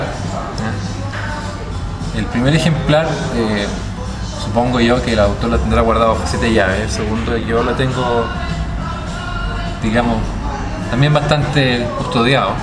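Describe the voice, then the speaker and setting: Male editor, mid-pitch, halting delivery full of restarts, loud music bed under the voice. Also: poor audio. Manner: hesitant, full of restarts and repeated words. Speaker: book editor. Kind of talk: storytelling monologue.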